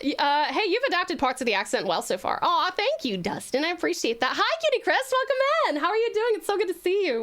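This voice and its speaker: Woman, high-pitched voice, livestream host, casual chat, sweet, effusive, gushing. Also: a bit bright. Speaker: livestreaming virtual streamer host. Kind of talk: casual chatty livestream talk with viewers.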